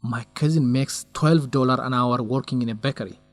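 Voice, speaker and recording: A man's voice: mid-range voice, fairly even intonation, brisk, dry recording, close to an everyday voice. An actor playing a young male researcher in a radio drama, lovesick and stubborn, speaking in an earnest, defensive way.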